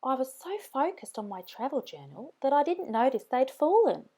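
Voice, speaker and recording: A woman's voice: sits high, very animated, normal speed, mellow, slightly rough, heavily acted. A woman voicing a friendly, adventurous young woman inventor in a storybook, keen and chatty.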